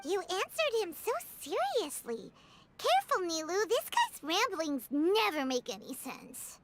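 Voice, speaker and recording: A woman voicing a tiny, childlike fairy companion in a video game, squeaky, cheeky and suspicious. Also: very animated, measured pace, exaggerated voice. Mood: whiny, suspicious. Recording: game music under the voice.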